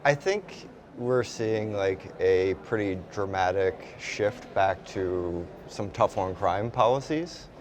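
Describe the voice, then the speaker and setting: A man speaking as a panel guest in a measured, tentative conversational way. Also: on the low side, slight background noise.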